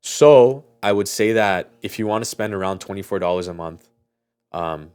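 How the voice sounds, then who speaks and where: A man's voice: fairly low pitch, moderate pace, a little mellow, smooth, no echo, faint music behind. A man speaking in a casual podcast chat, explaining things informally as a host. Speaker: audio mixing engineer.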